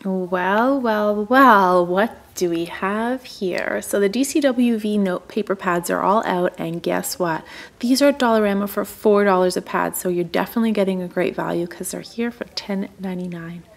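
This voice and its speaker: A woman's voice: medium pitch, normal speed, creaky. A woman giving a casual, chatty and enthusiastic running narration as a shopping vlogger. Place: indoors, in a store aisle.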